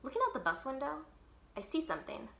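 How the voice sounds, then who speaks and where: A woman's voice: high-pitched, big pitch swings, fast talker, creaky, pushed and bright, echoey room. A woman reading a children's book aloud expressively, leaning on key words with dramatic emphasis. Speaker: library read-aloud storyteller.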